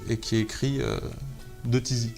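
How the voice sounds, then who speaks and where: A man's voice: mid-range voice, very animated, normal speed, vocal fry, a little mellow. Hesitant man with faint music behind. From Algeria. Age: 30s. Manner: hesitant, many fillers and restarts. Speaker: guest photographer. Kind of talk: casual interview talk.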